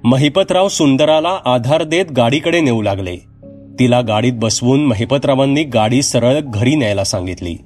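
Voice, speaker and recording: A male storyteller reads a scripted horror tale in a mid-pitched voice. Soft background music runs under his narration. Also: animated, moderate pace. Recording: music behind the voice.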